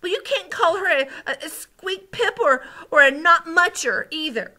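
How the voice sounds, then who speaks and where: A woman voicing an indignant, excitable and determined young girl from a storybook. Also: high-pitched, unhurried, pitched above the performer's own voice, full character voice. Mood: angry, aghast. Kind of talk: storybook character read aloud.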